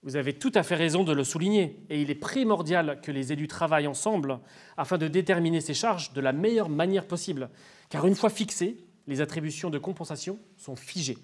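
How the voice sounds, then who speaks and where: A male expert with a moderately high-pitched voice. He explains in a formal, teacherly way, with orderly sentences that sound prepared. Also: brisk. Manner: formal, didactic. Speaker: expert interviewee on intercommunal finance. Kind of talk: structured, prepared explanation in an interview.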